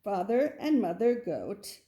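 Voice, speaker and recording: A woman reads a children's picture book aloud. Her pace is very unhurried and her pitch sits mid-range, in a storytelling style. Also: sing-song, dark tone.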